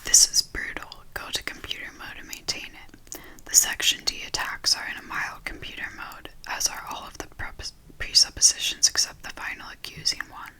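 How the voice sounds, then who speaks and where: A reader softly whispering a nonfiction book aloud in an ASMR style, with the voice fully unvoiced throughout. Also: moderate pace, rough recording.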